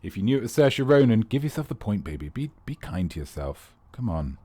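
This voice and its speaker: Man, quiz host, playful rambling asides, lowish voice, dry close sound. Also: sing-song, brisk, warm tone, husky, dry recording. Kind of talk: live streamed comedy quiz hosting.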